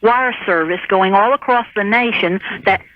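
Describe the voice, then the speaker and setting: A woman phones a radio call-in show with a casual gripe. Her voice sits at an average pitch and she speaks conversationally. The sound is a somewhat dull, lo-fi telephone line. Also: normal speed, slight background noise.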